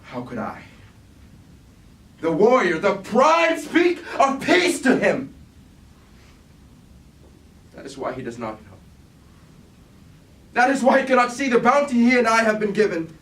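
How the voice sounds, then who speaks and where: A man's voice: extremely high pitch, wildly swooping pitch, measured pace, pauses often, a bit bright, full character voice. A man playing a grave, war-weary robot warrior leader haunted by guilt, in solemn, declamatory Greek tragedy style at a staged reading. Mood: sorrowful, brooding. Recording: echoey room from far off, hiss.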